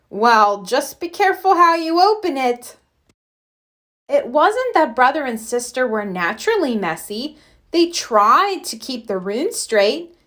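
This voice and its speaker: A woman narrating a children's storybook aloud in an expressive read-aloud style. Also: on the high side. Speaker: storybook reader.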